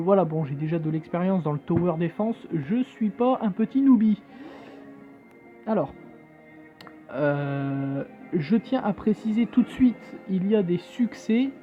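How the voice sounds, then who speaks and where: Hesitant gamer over a tinny line. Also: male voice, sits high. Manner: hesitant, many fillers, jokey asides; reads on-screen game text aloud. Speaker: gamer playing on a phone. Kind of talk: casual gameplay commentary. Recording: tinny phone-like screen recording with faint game music. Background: faint game music.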